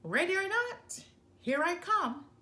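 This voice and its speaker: A woman voicing a hungry, menacing male storybook wolf with growling, drawn-out taunts. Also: very high-pitched, sing-song, pushed and bright, pitched above the performer's own voice, full character voice. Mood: gleeful menace.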